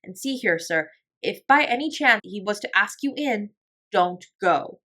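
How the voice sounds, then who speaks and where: A woman's voice: mid-range voice, lively intonation, unhurried, pushed and bright. A woman voicing an anxious, loyal old male butler, deferential but urgent and emphatic, in a storybook reading. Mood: urgent caution.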